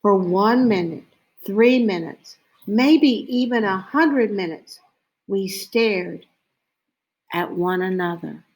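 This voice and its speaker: Very slow-paced woman reading a storybook. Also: medium pitch. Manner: unhurried, deliberate phrasing with frequent pauses. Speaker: storybook reader. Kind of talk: scripted children's story read-aloud.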